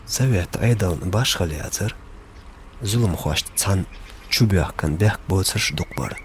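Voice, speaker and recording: A man's voice: sits low, expressive, takes it slowly, slight background noise. A man, a native Chechen speaker, narrating a film from a script.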